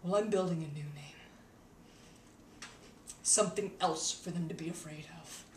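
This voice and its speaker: A woman playing a haunted, embittered young wizard turned killer in a dramatic monologue, intense and halting, swinging between hush and outcry. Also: low voice, close to an everyday voice. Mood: fierce, defiant. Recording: echoey room, distant mic, faint noise.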